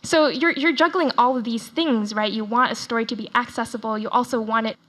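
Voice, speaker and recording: Woman guest, higher voice, careful academic phrasing, thinking aloud as she answers. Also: a little fast, a bit bright. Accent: adaptable American, mirrors people around her. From Texas, United States. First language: Chinese. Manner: articulate, reflective, academic phrasing. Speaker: author and translator, guest. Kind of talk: thoughtful interview answers.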